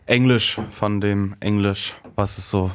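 A man's voice: fairly low pitch, steady tone, unhurried, a bit bright, clean tone, no echo. A young man hosting a radio show, bantering casually with friends in a slangy, loose, hesitant way.

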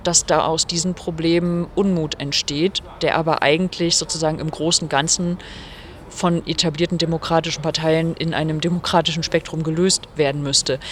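Earnest woman author with faint street noise. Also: sits low. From Germany, Rostock. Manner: earnest, explanatory, measured. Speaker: author interviewed as guest. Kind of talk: thoughtful interview answers. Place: venue in Rostock before a reading.

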